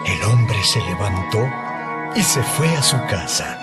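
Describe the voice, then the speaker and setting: Man, low voice, slow expressive scripture narration, storyteller delivery, music behind.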